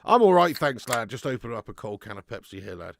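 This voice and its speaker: A man hosting a live fan watch-along of a football match at home, chatting to viewers with sweary banter and bursting into loud cheering at chances. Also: medium pitch, sing-song, fast, gravelly, background noise. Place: at home in front of a camera.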